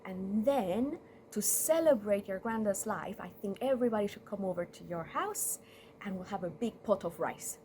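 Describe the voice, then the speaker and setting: A woman's voice: medium pitch, expressive, moderate pace, mellow, a little hiss or hum, natural voice. A woman voicing a greedy, two-faced male rat in a story, gushing, sly and theatrical. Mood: organizing. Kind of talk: live oral storytelling.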